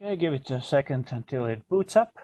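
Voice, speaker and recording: A man giving an informal software tutorial as a product trainer, explaining step by step in a hesitant way. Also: medium pitch, animated, brisk, no room sound.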